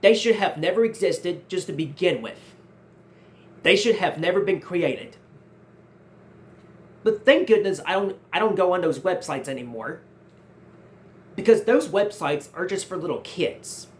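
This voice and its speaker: A man's voice: high-pitched, animated, unhurried, long pauses. A nineteen-year-old man hosting his own channel, earnestly answering viewer questions and often repeating and restarting his phrases. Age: 19, nearly 20. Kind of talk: casual Q&A vlog.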